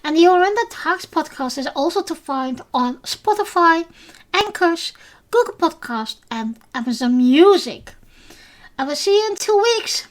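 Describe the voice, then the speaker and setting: Woman, high voice, hesitant, lots of fillers, podcast recap host. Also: expressive, measured pace. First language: Dutch. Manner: hesitant, many fillers and restarts; simple non-native phrasing. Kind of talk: casual episode recap.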